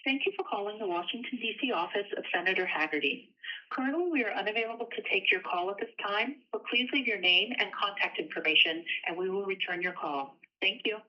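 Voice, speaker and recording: A woman's voice: medium pitch, fairly quick. A woman reading a scripted office voicemail greeting, heard muffled over a phone call. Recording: muffled voice through phone line.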